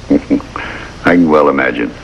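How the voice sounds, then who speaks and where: Deep-voiced old country doctor. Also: male voice, slow, lo-fi sound. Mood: kindly, wry. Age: older. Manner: plain-spoken, wry, folksy. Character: gruff but kindly old country doctor. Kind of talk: 1950s American television drama acting. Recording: noisy vintage 1950s television soundtrack.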